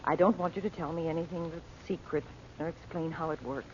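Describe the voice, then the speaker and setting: A woman's voice: sits low, fairly even intonation, fairly quick, a little mellow, close to an everyday voice. Anxious radio-serial mother played by a woman. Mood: hesitant, concerned. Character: Dot, anxious, caring mother in adventure serial. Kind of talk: old-time radio serial acting. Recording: dull, noisy vintage radio recording.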